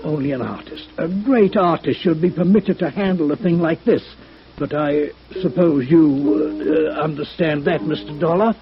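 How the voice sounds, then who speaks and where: A man's voice: fairly high pitch, normal speed, over music, lightly acted. A man playing an indignant, snobbish violin maker in an old-time radio drama, with a pompous, fussy, stammering manner. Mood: indignant, haughty. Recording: dull old radio recording.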